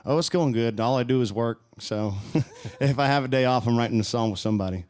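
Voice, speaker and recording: Smooth-voiced man telling stories at ease. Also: medium pitch, brisk, a little mellow. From High Springs, Florida, US. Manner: easygoing storyteller with light laughs. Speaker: country musician guest. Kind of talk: casual interview chat.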